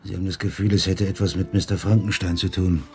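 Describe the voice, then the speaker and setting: An actor playing a dutiful, uneasy ship's lieutenant in a radio drama, formal and deferential. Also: male voice, low voice, flat delivery, fast, darkish tone, faint music behind. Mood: uneasy, respectful. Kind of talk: German radio drama acting.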